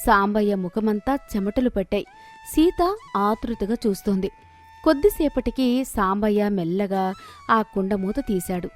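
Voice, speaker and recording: A woman's voice: mid-range voice, animated, a little slow, soft background music. A woman storyteller narrating a scripted moral story.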